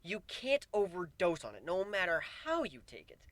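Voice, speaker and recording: A man's voice: sits high, wildly swooping pitch, moderate pace, a bit bright, no room sound, pitched above the performer's own voice, full character voice. A man voicing a weary, wry male pegasus who grows plants, in a dramatic fan fiction reading. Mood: matter-of-fact.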